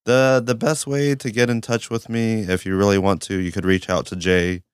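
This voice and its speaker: A man from Georgia, a podcast guest, hoarse and speaking hesitantly with many fillers. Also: on the low side, little pitch movement, moderate pace, no room sound, clean studio sound. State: hoarse, losing his voice. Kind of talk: casual chat. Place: studio.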